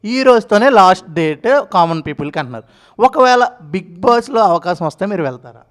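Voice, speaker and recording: A woman's voice: medium pitch, sing-song, normal speed. A woman interviewing in a chatty, effusive and encouraging way.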